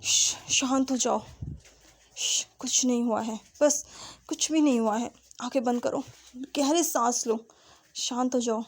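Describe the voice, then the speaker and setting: A woman voicing a calm, possessive young husband who speaks slowly and softly to soothe. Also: breathy edge. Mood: soothing. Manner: slow, low, soothing and hushed.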